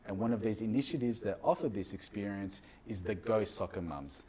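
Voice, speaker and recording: A man's voice: on the low side, brisk, mellow, slightly rough. A man hosting a radio show, reading scripted introductions. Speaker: radio host.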